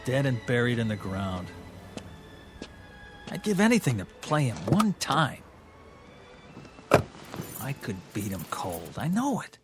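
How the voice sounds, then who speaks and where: An actor playing a cocky, obsessed young pool shark in a radio drama, with a brash, insistent, slangy way of talking. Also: male voice, mid-range voice, huge pitch swings, takes it slowly, pauses often, music in the background. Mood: brooding, obsessed.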